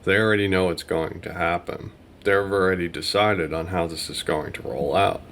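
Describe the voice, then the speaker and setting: A man's voice: sits low, fairly even intonation, moderate pace, a bit bright. A man hosting a video, delivering scripted commentary in a narrating style. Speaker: video host. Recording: light background noise.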